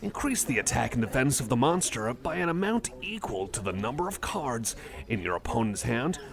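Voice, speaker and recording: A man's voice: medium pitch, very animated, moderate pace, vocal fry. A man voicing a shy, nerdy, eager cyborg card collector in an ASMR roleplay, chatting casually in a hesitant, rambling, apologetic way. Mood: nerdy enthusiasm. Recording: cafe crowd murmur behind the voice.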